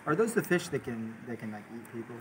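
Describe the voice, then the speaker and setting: A man's voice: mid-range voice, very animated, fast talker, husky. A man in his thirties chatting casually and informally, in a laid-back way. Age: 30s. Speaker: homeowner showing his building project. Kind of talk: casual chat. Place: outdoors, unfinished block building by the sea. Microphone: camera a couple of metres away. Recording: noisy outdoor recording, ambient background noise.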